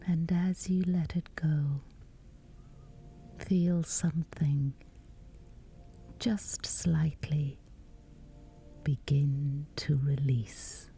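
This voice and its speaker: A woman guiding a sleep meditation in a hushed, whispery, unhurried voice with long pauses, reading as scripted narration. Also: deep voice, fairly even intonation, faint music behind.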